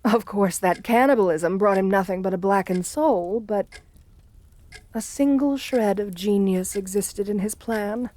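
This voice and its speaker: An assertive, seductive countess with an air of the vampire about her, played by a woman. Her voice sits at a medium pitch for a woman. She speaks intimately, as in an ASMR roleplay, flirtatious and soothing with genteel poise. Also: unhurried, mellow, lightly acted. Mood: genteel, coaxing.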